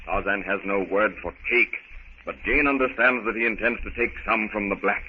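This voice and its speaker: A man's voice: fairly low pitch, moderate pace. A man narrating a scripted 1930s radio drama in a formal storytelling manner. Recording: muffled, noisy 1930s radio recording.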